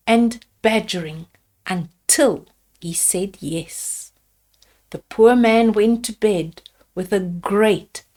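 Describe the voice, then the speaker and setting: An older woman reading a bedtime fairy tale aloud to young children, deliberately, with explanatory asides about the pictures. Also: mid-range voice, a little mellow.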